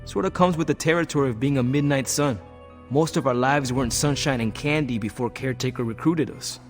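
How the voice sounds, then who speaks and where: A man acts out a friendly young American hero in a video game, in a medium-pitched voice. He talks casually and streetwise, with an easygoing, reassuring manner. Music runs behind him. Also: brisk, lightly acted. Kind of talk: video game cutscene acting.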